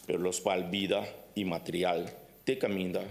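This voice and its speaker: A man's voice: sits low, level tone, moderate pace, vocal fry. A man giving a formal ceremonial speech to the council, emphatic, his voice rising sharply on key points.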